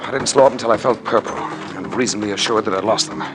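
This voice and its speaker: An actor playing a wry, hard-boiled private eye with an American accent, dry and sardonic, in radio drama acting. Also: male voice, on the low side, rapid-fire, music in the background, close to an everyday voice. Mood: dry narration. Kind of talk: 1950s radio drama acting with first-person narration. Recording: very noisy old radio recording.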